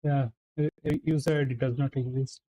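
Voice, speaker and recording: A man's voice: medium pitch, steady tone, a little fast, dark tone, poor audio. A man livestreaming a video game, chatting casually with viewers as he plays. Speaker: game streamer. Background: game music.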